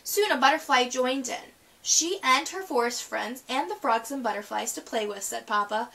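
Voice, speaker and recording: A woman's voice: high voice, moderate pace, creaky, a bit bright, distant mic. A woman reading a children's picture book aloud expressively, now and then stumbling over the words.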